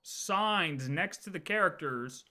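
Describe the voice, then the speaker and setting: A man's voice: a little high, expressive, takes it slowly, sharp tone, slight background noise. A man chatting casually as a game livestreamer, emphatic and slangy, often repeating his phrases.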